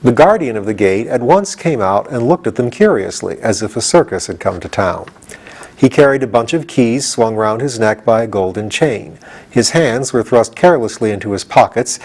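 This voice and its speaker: A man's voice: mid-range voice, animated, normal speed, darkish tone. A man reading a storybook aloud as scripted audiobook narration. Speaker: audiobook reader.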